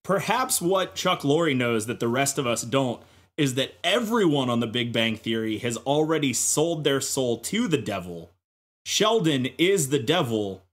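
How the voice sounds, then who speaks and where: Male podcast panelist, husky and very animated, delivering tongue-in-cheek theories with dramatic emphasis. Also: mid-range voice. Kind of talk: comic mock-serious theorizing.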